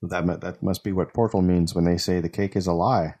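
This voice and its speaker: A man's voice: low voice, fairly even intonation, a little fast, darkish tone. A man in his forties musing in a rambling, casual way as a podcast co-host. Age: 40s. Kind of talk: casual chat.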